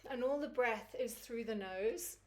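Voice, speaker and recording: A woman with a croaky, recovering voice teaching a Kundalini yoga routine in a chatty, encouraging way. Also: on the high side, unhurried, slight background noise. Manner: chatty and encouraging, laughs easily. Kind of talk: guided yoga class instruction.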